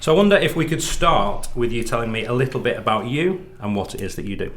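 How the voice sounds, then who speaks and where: A man's voice: medium pitch, lively intonation, fast, fairly bright tone. A man hosting a podcast, reading a sponsor offer and interviewing a guest in an upbeat, polished way. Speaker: podcast host. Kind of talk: host interview and ad read.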